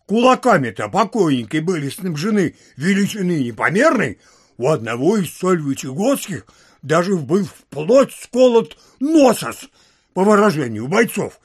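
An actor playing an agreeable, nervous provincial official who relishes gossip, husky-voiced, in an audio play. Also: male voice, sits high, dry recording, clean studio sound, exaggerated voice. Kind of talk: theatrical radio play acting.

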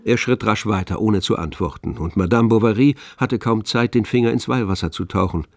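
A man's voice: on the low side, brisk, darkish tone, no room sound, clean studio sound. A man reading scripted literary narration as the narrator of a radio play. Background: music, with violin.

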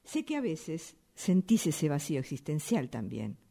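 A woman's voice: low voice, wildly swooping pitch, unhurried, gravelly, dark tone, husky, heavily acted. An actress playing a stern, domineering woman in a radio play, with a Rioplatense Spanish accent and an emphatic, insistent delivery. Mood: stern, probing. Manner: emphatic, repeats phrases insistently. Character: stern, domineering, defensive woman, sure of herself. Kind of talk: radio theatre acting, workshop fiction.

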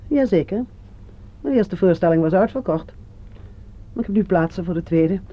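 A prying, distrustful landlady in a radio play. Her voice swings up and down with brisk, insinuating emphasis. The recording sounds a little dull. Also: on the low side, expressive. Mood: chatty, pressing. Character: nosy, suspicious landlady. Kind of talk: radio drama acting.

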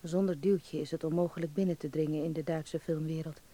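Radio play narrator, a man acting: hopeful young performer, soft mellow tone, confiding letter-reading style. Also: fairly high pitch, fairly even intonation, fairly quick, lightly acted. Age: young adult. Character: hopeful young male actor narrating his letter home. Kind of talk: dramatised first-person letter narration.

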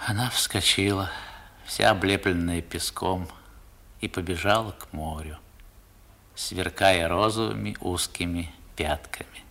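A man acting as the narrator of a 1960s radio drama, recalling his boyhood in measured, expressive literary prose. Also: low voice, creaky, heavily acted. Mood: reflective. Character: grown male narrator recalling his seaside boyhood adventure.

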